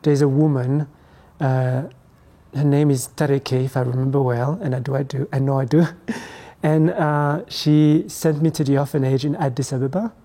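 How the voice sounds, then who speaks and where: Soft-toned young man. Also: medium pitch, steady tone. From Ethiopia, raised in France. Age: 20s. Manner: reflective, hesitant, halting phrasing. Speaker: guest. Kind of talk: conversational interview.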